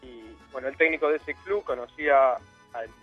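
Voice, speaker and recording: A man's voice: medium pitch, normal speed, breathy edge, highs cut off, faint music behind. A young man from Argentina with an Argentine Spanish accent, telling his story as a guest in a casual interview, rambling with many fillers. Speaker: guest footballer.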